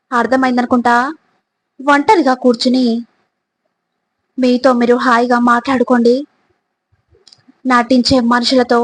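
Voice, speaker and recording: A woman reading scripted motivational reflections in a deliberate way, pausing between short phrases and addressing the listener directly. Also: a little high, low-quality recording. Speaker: motivational quotes narrator.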